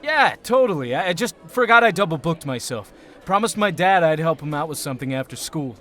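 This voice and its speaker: An earnest teenage team leader played by a man, casual yet insistent. His male voice is very high and animated, as in a radio play. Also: brisk. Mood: evasive, reassuring. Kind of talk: audio drama acting.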